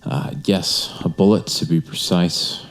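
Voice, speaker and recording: An actor playing a suave, insistent homicide detective in an audio drama, formal and smoothly polite. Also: male voice, deep voice, steady tone, unhurried, a little hiss or hum. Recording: music under the voice.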